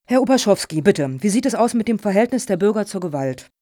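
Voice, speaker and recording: A woman plays a proper panel chair in a satirical radio play. Her voice is mellow and medium-low, her manner formal, orderly and a little admonishing. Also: sing-song, fast, close to an everyday voice. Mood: formal, controlled.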